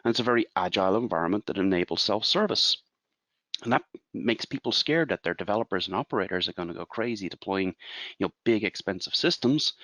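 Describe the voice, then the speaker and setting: An Irish man in his forties presents a webinar as a consultant, explaining in a measured way and asking rhetorical questions. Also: fairly low pitch, a bit bright, dry recording. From Ireland.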